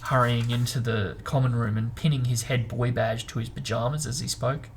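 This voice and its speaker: Male host and reader, fry-heavy mid-range voice, relaxed book-club format, some background noise. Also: mid-range voice, normal speed. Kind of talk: storybook narration and casual book chat.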